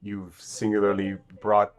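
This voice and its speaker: A man's voice: on the low side, monotone, measured pace. A man who is a regular on a podcast, joking and teasing himself during a casual group chat. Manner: joking, self-deprecating, playful.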